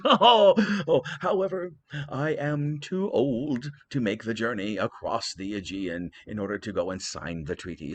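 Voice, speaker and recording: A man putting on a cackling old king, high and slow. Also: breathy edge, exaggerated voice. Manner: laughs between words, drawn out.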